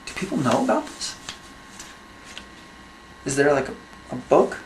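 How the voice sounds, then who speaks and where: A man playing a doubtful presenter of a comic facts segment, deadpan and hesitant. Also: mid-range voice, measured pace. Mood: puzzled. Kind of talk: deadpan mock-documentary presenting. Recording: echoey room with faint hiss.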